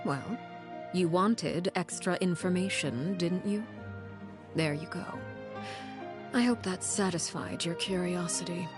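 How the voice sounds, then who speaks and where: Game villainess type: low, controlled, secretive commander, formal and faintly menacing, music underneath. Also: female voice. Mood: composed, explaining. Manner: measured, guarded, faintly menacing formal speech. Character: cold, poised, secretive female leader of an orphanage organization. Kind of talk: video game story dialogue.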